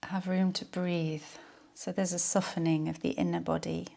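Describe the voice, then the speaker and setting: A woman leading a guided yoga nidra relaxation in a soothing, unhurried, soft-spoken way. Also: fairly low pitch, flat delivery, vocal fry.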